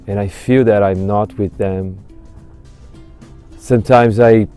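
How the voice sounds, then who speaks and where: A man in his thirties whose first language is Portuguese, a music teacher answering interview questions slowly, with many restarts. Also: fairly low pitch, steady tone, dark tone, clean tone. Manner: slow, halting, searching for words. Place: outdoors in autumn woods.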